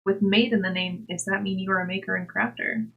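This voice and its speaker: A woman's voice: medium pitch, steady tone, a little fast, gravelly, breathy edge, phone-quality sound. A woman hosting a livestream, chatting to viewers in an informal, giggly way. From Scotland-born, grew up overseas and in the United States. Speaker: livestream host and crafter. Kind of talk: casual chat with livestream viewers.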